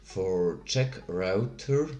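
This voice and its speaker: Brief: Swiss German man, low voice, unhurried and hesitant, tutorial narration in a roomy space. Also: expressive, dark tone. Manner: hesitant, halting with many fillers. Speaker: software tutorial maker. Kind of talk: screen-recorded software tutorial.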